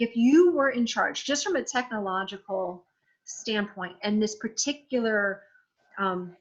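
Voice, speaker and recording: A woman in her forties hosting a casual conversation, talking in an animated, frank way, swearing freely and often restarting her sentences. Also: mid-range voice, highs cut off. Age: 40s. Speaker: host.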